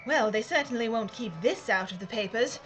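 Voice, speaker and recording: A woman playing a shrewd, sharp-tongued titled lady in an audio drama, with an assured, well-bred manner of speech. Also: fairly high pitch, brisk, faint music behind, lightly acted. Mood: tart, defiant.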